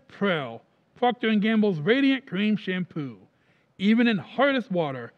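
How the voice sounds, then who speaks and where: A man's voice: high voice, highs cut off. A man announcing in the style of a 1940s radio show, reading a sponsor's advertisement. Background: music.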